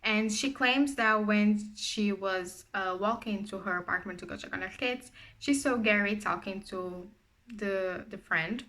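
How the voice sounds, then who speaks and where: A young woman with a Portuguese accent tells a true crime case in English, her second language. Her voice is bright and forward, and her delivery is loose and conversational, with frequent fillers and restarts. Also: mid-range voice, measured pace. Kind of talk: casual true crime narration.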